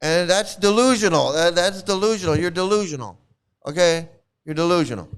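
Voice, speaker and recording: A man's voice: high voice, a little fast, smooth. Profane, scornful middle-aged man ranting. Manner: profane, scornful, repeats words. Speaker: commentary channel host. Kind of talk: casual ranting commentary.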